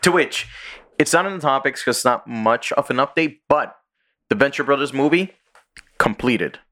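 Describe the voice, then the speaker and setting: A man hosting a podcast in casual chat, rambling through stories with quick asides. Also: mid-range voice, animated, a bit bright. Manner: rambling storyteller, sings and does quick voices. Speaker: podcast host.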